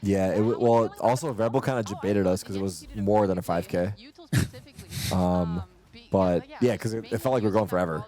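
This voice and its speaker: A man's voice: low voice, normal speed, mellow. A man streaming, chatting casually with a co-host, laid-back and rambling as he reacts to a match. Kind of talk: casual chat.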